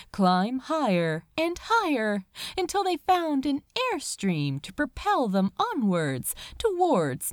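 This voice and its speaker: Very animated, slow-paced woman storyteller. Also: fairly high pitch, no room sound, studio quality. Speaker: fan fiction reader. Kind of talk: dramatic scripted story narration.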